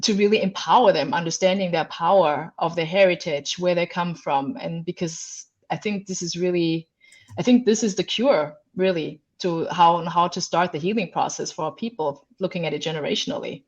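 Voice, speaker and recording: A woman's voice: fairly low pitch, normal speed. Earnest woman on a dull lo-fi call. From Austria. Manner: earnest, reflective, thinks aloud with restarts. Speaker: guest coach and consultant. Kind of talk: panel discussion over video call.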